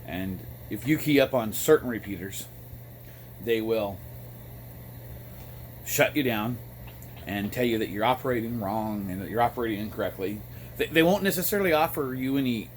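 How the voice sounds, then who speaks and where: American guy, lowish voice, just rambling casually and kinda halting, bit of noise in the background. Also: lively intonation. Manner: halting, frequent throat clearing between phrases. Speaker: amateur radio vlogger.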